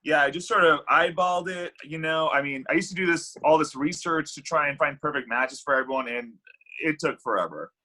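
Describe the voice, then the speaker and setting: A man's voice: fairly high pitch, fairly quick, bright, forceful tone. A man reading the part of a flippant, evasive, bitter thirty-year-old Cupid at a comedy table read. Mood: flippant, defensive.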